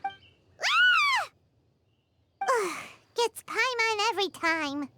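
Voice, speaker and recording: A woman voicing a tiny, excitable, easily offended fairy companion in a video game, squeaky and childlike, given to exclamations. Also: extremely slow, no echo, full character voice. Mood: startled. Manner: exclamatory, refers to self in third person. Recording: loud music behind.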